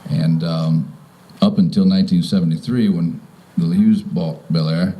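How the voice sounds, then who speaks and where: Very deep, mellow older man speaking informally. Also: steady tone, unhurried, low-quality recording. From Virginia, United States. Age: 50s or older. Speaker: property owner welcoming guests. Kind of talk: informal welcome speech. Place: outdoors in front of a house.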